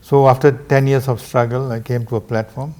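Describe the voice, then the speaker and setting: A middle-aged man from Singapore, a guest talking slowly and earnestly about struggle and faith. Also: mid-range voice, flat delivery, warm tone. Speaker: interview guest, businessman. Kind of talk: reflective spiritual conversation.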